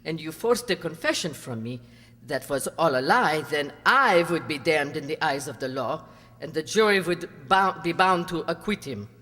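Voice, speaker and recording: A woman's voice: animated, normal speed, fairly bright tone. A woman playing a proud, devoted and cunning former actress in an amateur radio drama, with bitter composure. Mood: passionate, insistent. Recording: boomy hall reverb.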